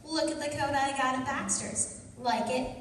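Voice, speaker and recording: A woman's voice: high voice, normal speed, lightly acted. Woman acting a sassy radio moll, distant, echoey. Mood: breezy, coy. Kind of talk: old-time radio drama acting. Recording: very echoey hall, distant mic, faint noise.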